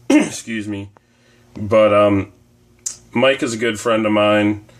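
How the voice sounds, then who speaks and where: A man with a slightly low voice, hoarse from fighting off a cold. He chats loosely to camera in a casual vlog, wandering through his thoughts with plenty of ums and uhs. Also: steady tone, takes it slowly. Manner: rambling, conversational, frequent ums and uhs. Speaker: comic collecting vlogger.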